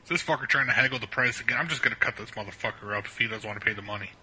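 A man's voice: fairly low pitch, rapid-fire, bright, forceful tone, a little hiss or hum, rough recording. A brash, profane man bantering crudely and casually as a regular on a prank call show.

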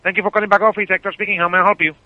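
A man's voice: high-pitched, level tone, racing, a little hiss or hum. A Spanish-accented man, a native Spanish speaker, doing customer service talk on a muffled telephone line. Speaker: phone operator at a casino.

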